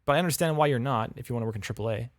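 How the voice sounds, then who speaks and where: A man talks casually into a close desk mic, giving frank, chatty feedback with lots of restarts and fillers. His voice sits at an ordinary middle pitch, and the sound is dry and close with no room echo. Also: rapid-fire. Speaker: livestream host reviewing game audio reels.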